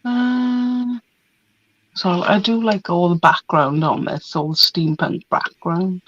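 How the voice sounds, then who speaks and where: A woman, hoarse from a cold, presenting craft papers in a chatty, relaxed way. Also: fairly low pitch, slow, no echo. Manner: chatty, relaxed, laughs often. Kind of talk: casual product show-and-tell. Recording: dry, dull lo-fi sound.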